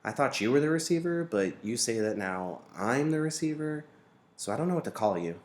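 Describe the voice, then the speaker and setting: A man voicing an earnest boy, pitched up. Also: lively intonation, fairly quick, natural voice. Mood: shy, polite. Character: earnest, polite young boy, eager and brave.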